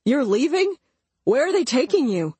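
A woman's voice: high voice, very animated, normal speed, mellow, dry recording, voice pushed up. A woman voicing an earnest, easily hurt young man in an audiobook, urgent and questioning. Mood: hurt, confused. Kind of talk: dramatised audiobook dialogue.

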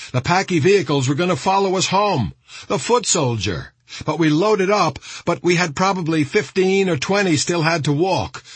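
A man's voice: high-pitched, a bit bright, slightly rough, dry recording, voice pushed up, full character voice. A man voicing a frantic army captain in an audiobook, calling out alarm in urgent, clipped military radio talk. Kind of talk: audiobook character voice.

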